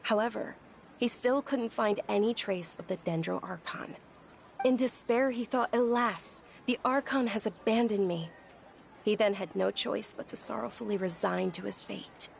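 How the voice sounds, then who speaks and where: An actress playing a shy, gentle young woman who tells an old legend, speaking softly and hesitantly, with game music behind. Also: medium pitch, moderate pace, gravelly, a little hiss or hum, natural voice. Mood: storytelling. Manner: soft, hesitant, stammers when nervous. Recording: game audio with background music.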